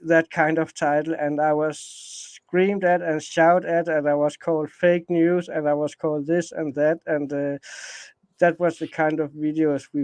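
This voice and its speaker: Interview guest: middle-aged Danish man, Danish accent, fairly high and flat delivery, dry close sound. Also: no echo. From Denmark. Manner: rambling, many restarts and fillers. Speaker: guest, space anomaly researcher. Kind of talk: casual interview chat.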